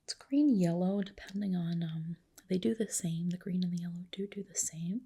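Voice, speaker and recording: A woman whispering a soothing ASMR roleplay as a reassuring clinician, in a soft, breathy voice. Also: on the low side, normal speed.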